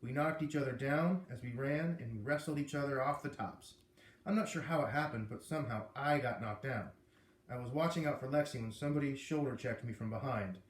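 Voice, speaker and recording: A man reading a children's novel aloud in an expressive storytelling way. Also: mid-range voice, fairly quick. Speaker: read-aloud reader. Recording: echoey room.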